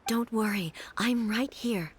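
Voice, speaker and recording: Composed, regal shogun deity. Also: female voice, fairly high pitch, a little hiss or hum. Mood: soothing. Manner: measured, formal, calm. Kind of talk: video game voice acting.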